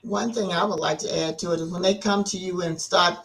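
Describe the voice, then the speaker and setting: A man's voice: sits high, steady tone, brisk, fairly bright tone. An older man on a talk show panel giving firm, emphatic advice in a casual way.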